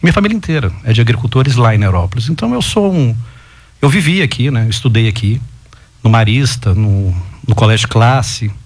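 A man, a guest on a talk show, chatting casually and telling his family story with lively rising emphases. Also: mid-range voice, a little fast. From Goiás, Brazil. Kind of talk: casual chat.

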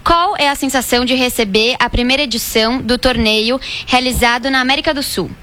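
Bright-voiced woman asking a question. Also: a little high, fairly quick. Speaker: interviewer. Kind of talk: interview question.

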